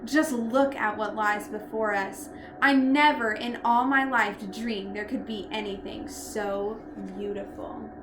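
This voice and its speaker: A woman voicing a kind, gentle young woman from a storybook, earnest and full of wonder. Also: medium pitch, fairly even intonation, slow, echoey room, noisy background, natural voice. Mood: awed. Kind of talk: storybook character voice.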